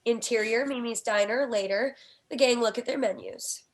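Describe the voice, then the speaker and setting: Woman, scripted narration, stage directions, higher-pitched voice, screenplay table read. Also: normal speed.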